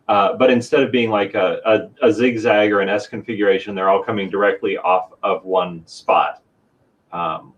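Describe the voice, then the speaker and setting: Distant-sounding man with a lowish voice. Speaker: guest demonstrating electronics. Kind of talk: casual technical demo. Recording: distant from the microphone.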